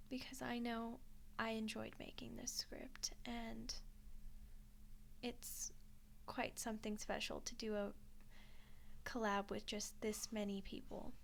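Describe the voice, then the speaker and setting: A soft-spoken woman with a fairly high voice gives informal credits as a channel creator. Her manner is appreciative, and noticeable noise sits behind her. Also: creaky. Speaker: ASMR channel creator and voice actor.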